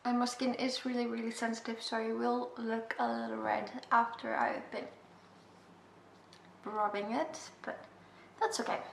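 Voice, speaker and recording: A woman's voice: on the high side, flat delivery, measured pace, vocal fry. A young woman with an Icelandic accent, English her second language, talking casually through a makeup tutorial with chatty, self-deprecating asides. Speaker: makeup vlogger. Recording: echoey room with faint hiss.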